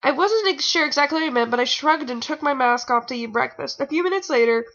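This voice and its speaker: A woman's voice: high voice, animated, fast, fairly bright tone, lo-fi sound. A young adult woman giving a mocking amateur fan fiction reading with rambling, exasperated, theatrical asides, sounding a bit tired. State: tired, sore nose.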